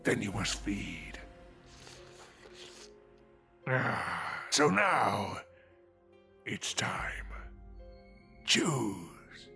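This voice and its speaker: Monstrous male vampire: commanding menace, forceful edge, wide theatrical swings, music underneath. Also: fairly low pitch, big pitch swings, full character voice. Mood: solemn, ominous. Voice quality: gravelly. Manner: slow, menacing, theatrical delivery. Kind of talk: audio horror drama acting.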